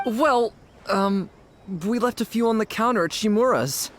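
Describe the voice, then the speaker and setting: A woman playing an excitable, sheepish young gang underling in a video game, with an earnest, pleading manner. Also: fairly high pitch, unhurried, a little hiss or hum, close to an everyday voice. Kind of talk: English video game voice acting.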